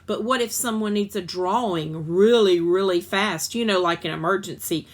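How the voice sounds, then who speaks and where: A woman's voice: medium pitch, normal speed, lightly acted. Storybook mother, read by a woman: exasperated negotiator, emphatic delivery, mid-range voice. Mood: insistent.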